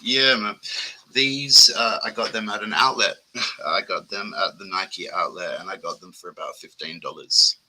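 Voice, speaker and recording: A man with an Australian accent and a very deep, low voice, talking about his sneakers in a relaxed, laid-back way on a livestream call. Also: normal speed, poor audio. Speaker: sneaker collector guest. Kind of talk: casual livestream chat.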